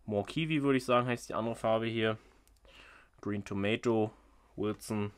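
A man's voice: lowish voice, normal speed, smooth. A man hosting a casual unboxing vlog indoors, chatty and jokey, thinking aloud with fillers as he goes. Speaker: fishing channel host. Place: indoors at a table. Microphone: off-camera microphone, top-down camera.

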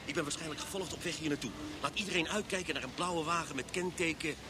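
Man acting a grumbly impatient police inspector, fairly high voice, old radio play with clear noise. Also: fast talker, natural voice. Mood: businesslike, hurried. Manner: curt, grumbling. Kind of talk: Dutch radio drama acting.

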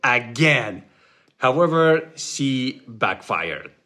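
A man narrating a vlog in a wistful, gently joking voice-over, a native Spanish speaker. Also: medium pitch, very animated, extremely slow.

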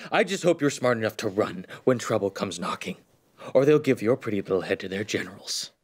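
A man's voice: on the low side, expressive, fairly quick, breathy edge. A man playing a bitter, drunk, war-weary soldier in an audio drama, defiant and fatalistic. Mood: angry outburst.

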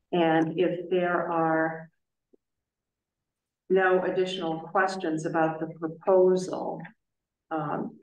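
A middle-aged woman chairs a town planning board in a meeting room, her low voice slow and deliberate. she weighs each point with lots of um's. the sound is roomy and echoey, picked up from well across the room. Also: distant mic. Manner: measured and careful, many filler pauses.

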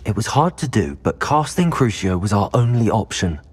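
A young man with a British accent playing a polite, composed student wizard hero in a video game, speaking in a measured way. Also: fairly low pitch, big pitch swings, slightly rough, no room sound. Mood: calm, sympathetic. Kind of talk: video game cutscene acting.

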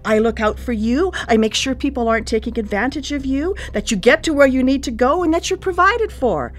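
An actress in a radio play playing a controlling, composed cannibal cook who dotes menacingly on family. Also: female voice, sits high, animated, fairly quick. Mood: fervent, controlling. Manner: composed, coy, domineering. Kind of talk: live radio play acting.

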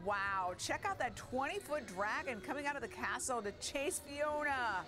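Animated, higher-voiced woman commentating. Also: moderate pace. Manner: exclamatory, gushing. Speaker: parade broadcast co-host. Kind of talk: enthusiastic live event commentary. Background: music.

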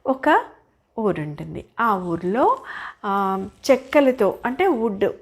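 A woman's voice: medium pitch, sing-song, slow. An older woman telling a children's story informally, explaining as she goes and putting questions to her listeners. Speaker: storyteller grandmother.

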